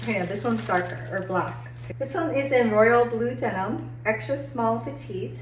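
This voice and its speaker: Hoarse woman, chatty and upbeat, clothing try-on review, echoey room, faint hum. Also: a little high, normal speed, recorded from a distance. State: hoarse, getting over a cold. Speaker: fashion haul vlogger.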